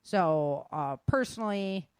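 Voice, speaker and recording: A woman's voice: medium pitch, expressive, takes it slowly, darkish tone, smooth, no room sound. Casual female host, dry close sound. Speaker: host of a self-defense and survival show. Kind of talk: casual spoken advice to listeners.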